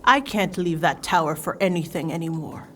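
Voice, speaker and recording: A woman's voice: on the low side, animated, moderate pace, fairly bright tone, recorded from a distance, slight background noise. A woman playing a troubled, isolated warlock leader in a fan audio drama, emotional and pleading. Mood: bitter, weary.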